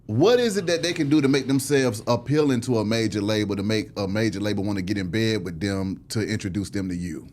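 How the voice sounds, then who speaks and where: A man's voice: fairly low pitch, brisk, few pauses, a little mellow. A man hosting an interview, asking questions in an informal, slangy way with some mild swearing. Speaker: interviewer, host.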